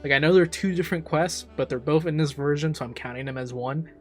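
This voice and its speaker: Enthusiastic, higher-pitched man doing gaming commentary. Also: fast. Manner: enthusiastic, emphatic, informal. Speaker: game reaction streamer. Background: faint game music.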